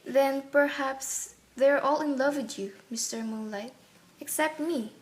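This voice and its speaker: An actress playing a gentle, youthful-looking married woman in a radio play, playful yet anxious. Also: high-pitched, measured pace. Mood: soft, teasing.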